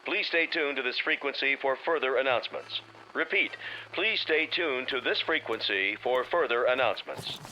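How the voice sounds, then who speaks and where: A man's voice: medium pitch, animated, moderate pace, sharp tone. A man acting as an official emergency radio announcer in a drama, reading a formal bulletin through a radio filter. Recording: dull, noisy radio broadcast.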